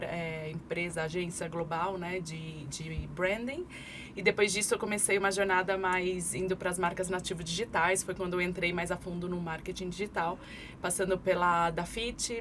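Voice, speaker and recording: A woman in her forties, from Brazil, a marketing expert guest on a podcast, explaining her career in steady, articulate speech with frequent fillers. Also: lowish voice. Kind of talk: conversational podcast interview. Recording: clear background noise.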